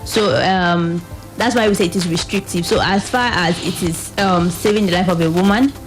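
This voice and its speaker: A woman's voice: lowish voice, normal speed, soft background music, low-quality recording. A woman with a Nigerian accent explaining as a guest in a radio interview, somewhat hesitant. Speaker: reproductive health advocate, studio guest.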